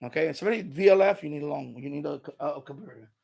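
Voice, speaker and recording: A man's voice: on the high side, very fast, gravelly, darkish tone, husky. A man hosting an unscripted hobby livestream, chatting in an emphatic, opinionated way and repeating himself to drive points home. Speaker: live stream host.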